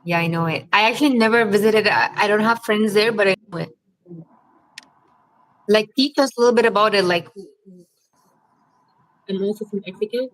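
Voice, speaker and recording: A woman's voice: mid-range voice, moderate pace, pauses often, fairly bright tone, rough recording. A woman from Egypt hosting a casual live-stream Q&A, chatting and reading viewers' comments aloud with lots of fillers. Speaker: vlog host.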